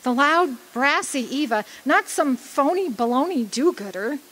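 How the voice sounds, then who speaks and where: A woman playing a loud, brassy, flashy dead woman, wry, defiant and flirtatious, in a radio drama. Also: sits high, animated, measured pace. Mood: earnest.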